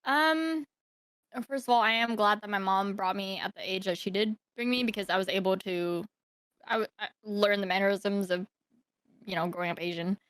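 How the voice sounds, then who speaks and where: A woman's voice: mid-range voice, moderate pace, a bit bright, smooth, no room sound. A young woman from Thailand telling her life story as a podcast guest, hesitant, with many fillers and restarts. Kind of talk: casual interview storytelling.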